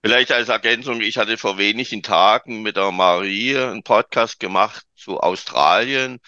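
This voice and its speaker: A man's voice: lowish voice, moderate pace, sharp tone, dry recording. A man hosting a podcast who chats and tells anecdotes to add to the conversation. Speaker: podcast host. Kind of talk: informative podcast talk. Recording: dull lo-fi sound.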